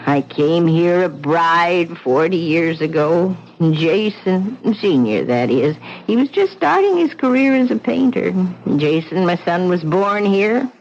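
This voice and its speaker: Old widow prophet of doom, slow and insistent, dull old radio sound. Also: female voice, fairly low pitch, big pitch swings, a little mellow. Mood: foreboding. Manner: grim, insistent warnings. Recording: muffled old radio recording, faint hiss.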